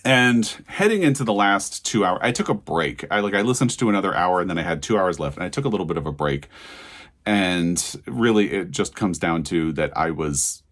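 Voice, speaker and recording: A man with a low-pitched voice hosts a casual book review. He speaks directly to viewers in a candid, conversational way and leans on his opinions with clear emphasis. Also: animated, moderate pace, fairly bright tone. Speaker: book reviewer and video host.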